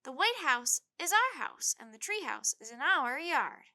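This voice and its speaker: A woman voicing a brash, bossy little boy who plays pirate, shouting and taunting. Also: high-pitched, sing-song, a little slow, pitched above the performer's own voice, heavily acted. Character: bold, bossy young boy pirate-player.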